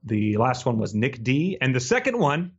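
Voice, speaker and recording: A man co-hosting a podcast in casual book discussion, with halting, repeating phrasing and a slightly lo-fi recording. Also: medium pitch, big pitch swings, breathy edge. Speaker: podcast co-host. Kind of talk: casual book discussion with read-aloud passages.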